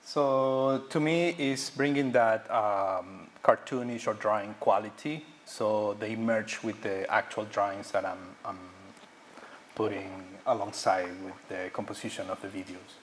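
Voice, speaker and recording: Halting Ecuadorian-born man, low voice. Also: fairly even intonation, slight background noise. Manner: halting, searching for words, many fillers. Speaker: guest artist. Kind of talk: public artist talk. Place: auditorium.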